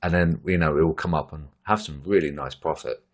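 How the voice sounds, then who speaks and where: A man with a very low, deep voice, sounding tired as he talks through charts. His delivery is relaxed and rambling, full of fillers and restarts, like casual commentary over a screen share. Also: brisk. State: tired after a long bike ride. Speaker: trading channel host.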